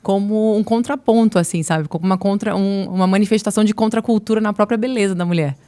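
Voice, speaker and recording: A woman in her forties from Niterói, with a Rio de Janeiro accent softened by years in São Paulo, talking casually as an interview guest and acting out bits of dialogue as she tells stories. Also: medium pitch, fast. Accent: Rio de Janeiro Portuguese, softened by years in São Paulo. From Niterói, Brazil. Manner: animated storyteller who acts out quoted dialogue. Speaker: guest, marketing executive.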